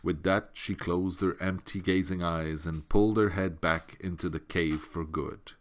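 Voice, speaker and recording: A man's voice: deep voice, fairly even intonation, unhurried. A man narrating a storybook as an audiobook reader.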